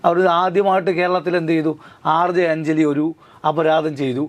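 A man giving legal commentary as a studio guest, talking fast and answering his own rhetorical questions. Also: fairly high pitch, fairly even intonation. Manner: rapid, repetitive, keeps asking himself rhetorical questions. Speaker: lawyer guest.

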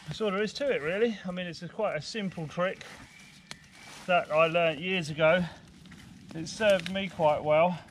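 Higher-pitched man giving casual outdoor demonstration. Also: normal speed. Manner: informal, talking while working with hands. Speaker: bushcraft instructor. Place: outdoors in woodland. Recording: clear outdoor background noise, woodland ambience.

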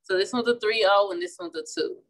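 A woman's voice: medium pitch, brisk. A woman in her thirties from Missouri with a Midwestern accent, chatting casually as she demonstrates painting at a flower shop table. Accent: Midwestern American. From St. Louis, Missouri. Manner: chatty, relaxed, restarts often while working. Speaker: florist and class instructor. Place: indoor flower shop worktable.

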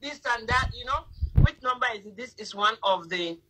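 Woman, 30s, live-stream host on phone, bright, husky edge, emphatic and lecturing. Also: fairly high pitch, animated, moderate pace, rough recording. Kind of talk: casual live-stream chat. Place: indoor room at home. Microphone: phone front camera.

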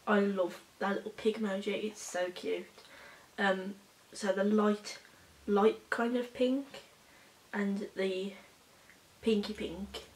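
A young woman with a throat still hoarse from a cold, talking unhurriedly through a makeup tutorial. She rambles and restarts, with plenty of fillers. The room around her is echoey. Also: medium pitch, fairly even intonation. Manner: rambling, halting, with many fillers. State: hoarse, recovering from a cold, coughing. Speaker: beauty vlogger. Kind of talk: casual makeup tutorial chat. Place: small bedroom indoors.

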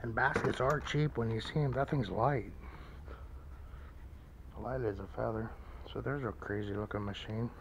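A man giving casual walk-through commentary as a vlogger, rambling and musing aloud in a low-key way. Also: normal speed, long pauses. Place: large indoor antique mall, walking around. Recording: background noise, store ambience.

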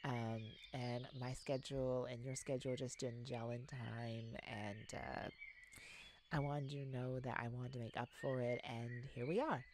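Woman playing a slow-talking, playful boyfriend, noisy recording. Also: extremely low pitch, fairly even intonation. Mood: tender. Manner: giggly, bashful, hesitant, gushing. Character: silly, playful, affectionate young boyfriend surprising his partner. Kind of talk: ASMR romance roleplay. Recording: clearly noisy, ambient sounds behind.

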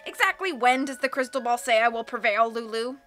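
A woman's voice: a little high, lively intonation, a little fast, a bit bright, soft background music. Woman doing a smug, menacing male villain. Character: menacing, boastful villainous man, a theatrical gang boss.

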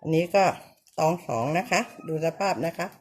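A woman, called auntie by herself, giving a casual home demonstration, speaking politely and repeating short pointing phrases with soft polite endings. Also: moderate pace. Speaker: vlogger showing how to clean banknotes.

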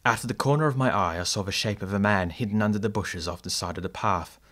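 Low-voiced, earnest male diarist, acted by a man. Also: fast, fairly bright tone. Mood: alarmed. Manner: formal, storybook-like phrasing. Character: male diarist, polite, earnest, easily startled friend. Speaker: narrator and character. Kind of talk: audio drama diary narration.

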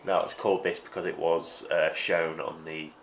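A man's voice: low-pitched, little pitch movement, normal speed. A young man in his twenties with a congested voice from hay fever, chatting casually and rambling with many ums as he unboxes items at home. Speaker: vlogger. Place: small room at home, indoors. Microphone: webcam at desk. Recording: noisy home webcam audio.

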